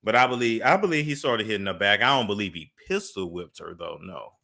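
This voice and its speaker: A man's voice: lowish voice, animated, brisk, creaky, bright, forceful tone. A young man hosting a gossip commentary show, laughing and mocking the clips he reacts to. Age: young adult. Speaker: commentary host.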